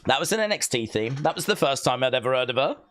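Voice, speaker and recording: Rambling, deadpan male livestream host. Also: mid-range voice, fast talker. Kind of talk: casual watch-along chat, reading viewer comments.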